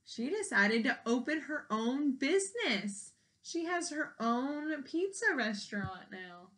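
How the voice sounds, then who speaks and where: A woman with a bright, slightly high voice reads a picture book to children. She takes her time, shaping the narration expressively. In a teacherly manner, she steps out of the tale to ask simple guiding questions. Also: very animated, slow pace. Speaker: storybook read-aloud reader. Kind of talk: expressive children's read-aloud with questions to young listeners.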